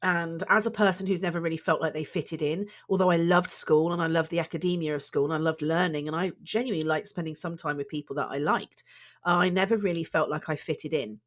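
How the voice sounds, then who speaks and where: A woman's voice: low-pitched, fairly even intonation, fairly quick. A middle-aged woman with a congested, bunged-up voice, hosting her own podcast in an earnest, chatty way. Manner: warm, earnest, chatty with restarts. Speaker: podcast host, therapist. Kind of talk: solo podcast talk.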